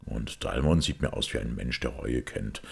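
Man reading as a deep, gravelly priest detective. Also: lively intonation, moderate pace, full character voice. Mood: grave explaining. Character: calm, shrewd priest detective explaining a crime.

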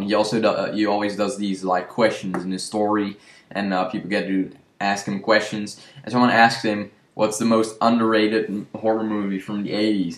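Young male video review host, casual and chatty, fairly deep, roomy home recording. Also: a bit bright. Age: young adult. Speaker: horror movie review show host. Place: small room with shelves, indoors. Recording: roomy indoor echo.

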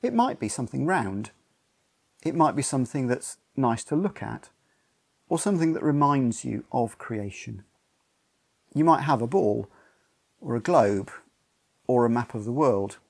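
An older man leads a family church activity from home. His voice is husky and creaky, and his pitch moves in an animated way as he gives simple, clear instructions. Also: measured pace, pauses often. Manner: simple, explanatory, addressing families. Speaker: church service leader. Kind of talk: instructional talk to a home congregation. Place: living room at home, indoors.

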